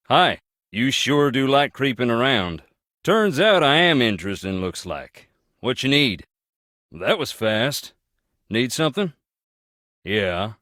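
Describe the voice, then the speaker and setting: A man's voice: mid-range voice, pushed and bright, dry recording, studio quality, full character voice. An actor playing a wary, sardonic, brooding Southern man in a video game, laconic and blunt.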